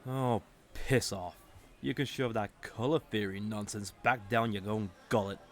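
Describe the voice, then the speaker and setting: An actor playing a haughty, sardonic villain commander in a roleplay audio drama, condescending and drawling, with mock-sweet menace. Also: male voice, lowish voice, steady tone, a little slow. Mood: irritated, sneering.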